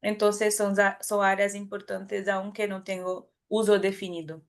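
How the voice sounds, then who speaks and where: Woman with a Brazilian Portuguese accent, halting. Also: medium pitch, flat delivery. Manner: careful, halting, with restarts and self-corrections. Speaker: academic presenter. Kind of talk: conference talk.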